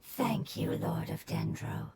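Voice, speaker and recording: A woman voicing a solemn, devoted elemental spirit in a video game, with a formal, grave and breathy delivery. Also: low-pitched, takes it slowly, very noisy, full character voice. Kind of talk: video game character acting. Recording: loud game music behind.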